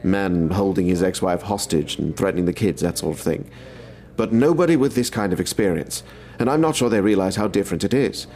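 An actor playing a brisk male military major who briefs in a businesslike way. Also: low voice, a little mellow. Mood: serious.

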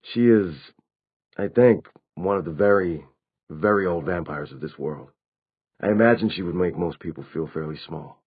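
A man voicing a grave, measured adult werewolf pack leader in an audiobook story, speaking in a neutral, serious tone. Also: low voice, slightly rough, phone-quality sound. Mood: thoughtful.

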